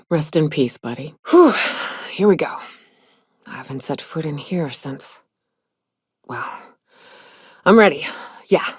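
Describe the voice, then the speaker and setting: A woman playing a tough, grieving, foul-mouthed huntswoman in a space frontier video game, speaking bluntly in gruff, folksy phrasing. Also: low voice, no echo, clean studio sound, exaggerated voice. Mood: nervous, resolute. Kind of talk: video game character dialogue.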